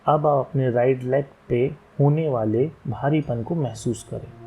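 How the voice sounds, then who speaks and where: A man leading a guided relaxation meditation as an instructor, giving calm, unhurried instructions with long pauses. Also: medium pitch, rough recording. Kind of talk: guided yoga nidra meditation, slow soothing instructions. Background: meditation music.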